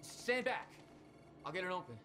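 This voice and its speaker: A man's voice: sits high, very animated, normal speed, sharp tone, soft background music, exaggerated voice. An actor playing a tense, defiant man on the run in a video game, speaking in urgent, blunt bursts. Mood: tense, confused. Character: Jack, tense, defiant younger brother on the run.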